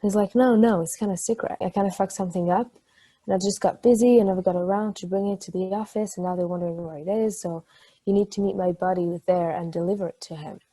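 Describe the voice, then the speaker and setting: A woman's voice: medium pitch, fast, lightly acted, low-quality recording. A woman playing a playful, chatty married ex-athlete in a casual, conversational stage play table read. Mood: chatty storytelling. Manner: casual, swearing, animated storytelling.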